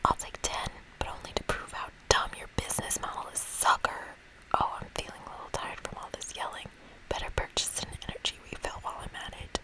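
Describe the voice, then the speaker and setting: A woman reading a video game aloud in a breathy ASMR whisper, playful and expressive, with casual asides. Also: brisk, low-quality recording. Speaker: ASMR gamer reading a game's dialogue aloud. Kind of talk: whispered ASMR let's play.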